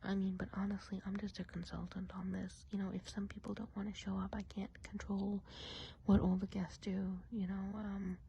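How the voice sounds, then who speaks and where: A woman's voice: mid-range voice, little pitch movement, moderate pace, gravelly, mellow, slight background noise. A woman whispering an ASMR roleplay as a friendly woodland witch, chatting in a rambling, confiding, gossipy way. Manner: whispered, rambling, confiding, with casual filler-laden asides.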